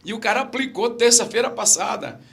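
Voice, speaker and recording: A man's voice: very high-pitched, a little fast, creaky, a bit bright. A middle-aged man from Brazil, a pastor with a stammer, telling stories in casual podcast chat and repeating his key phrases.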